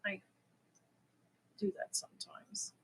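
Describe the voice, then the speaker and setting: Bright-voiced woman chatting casually. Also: takes it slowly, rough recording. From Germany. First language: German. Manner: chatty, giggly, slightly nervous. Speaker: crochet live stream host. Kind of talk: casual live stream chat.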